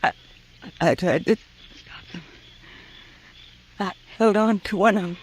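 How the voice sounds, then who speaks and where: Woman acting wounded settler wife, slow halting speech, weak, grief-stricken, vintage radio western. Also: mid-range voice, slight background noise, close to an everyday voice. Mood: dazed, anguished. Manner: halting, anguished, repetitive. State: weak, anguished. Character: distraught, wounded frontier mother, grown woman.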